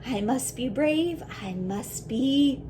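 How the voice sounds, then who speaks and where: A woman voicing a brave, imaginative little schoolgirl in a picture book, with dramatic, emphatic, drawn-out delivery. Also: high voice, lively intonation, noisy background, voice pushed up. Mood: spooky, defiant.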